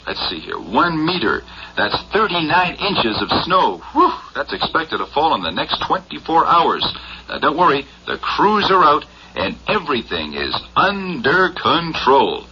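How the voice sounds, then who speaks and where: An actor playing a chatty, avuncular small-town radio announcer reading a late-night weather bulletin, heard through a radio set. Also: male voice, a little high, wildly swooping pitch, a little slow, sharp tone, a little hiss or hum, heavily acted. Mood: chatty, cautioning. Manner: jovial, avuncular, rambling. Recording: muffled radio broadcast with crowd-like background.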